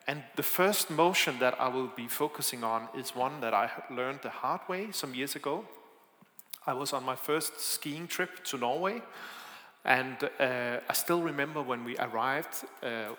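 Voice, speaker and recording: A man's voice: mid-range voice, sharp tone. A man from Denmark with a Danish accent preaching a sermon, telling personal stories in a chatty, self-deprecating way and laughing often. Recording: echoey hall with long reverb.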